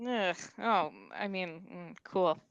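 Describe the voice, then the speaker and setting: A woman's voice: on the low side, slow pace, clean tone, highs cut off. A woman playing a breezy, gossipy know-it-all informant in a comedy table read. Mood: awkward.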